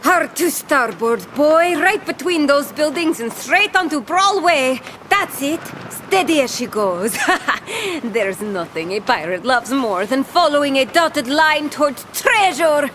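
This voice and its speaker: A woman's voice: sits high, lively intonation, a little slow, fairly bright tone, slight background noise. A woman playing a bossy, treasure-obsessed pirate captain in a cartoon adventure, theatrical and full of salty pirate slang. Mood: commanding. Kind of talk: animated cartoon adventure storytelling.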